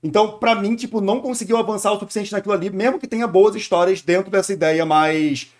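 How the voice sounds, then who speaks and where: A man's voice: high voice, quick pace. A Brazilian man giving casual, opinionated live commentary as a streamer, explaining his views informally with slang. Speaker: streamer reacting to a video.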